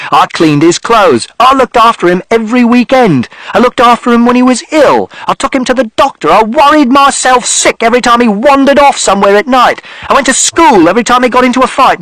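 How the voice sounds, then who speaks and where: A man reading an audiobook voices a furious middle-aged father who shouts and pleads. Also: mid-range voice, a little slow, long pauses, no room sound, natural voice, low-quality recording. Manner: shouting, raw, then pleading.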